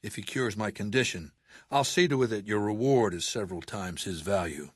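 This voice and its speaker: An aging warlord dictator from a video game, cold and imperious. The voice is on the low side and very dry, close and free of room sound. He speaks in a measured, commanding way that carries menace. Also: breathy edge, no room sound. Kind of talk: video game character dialogue.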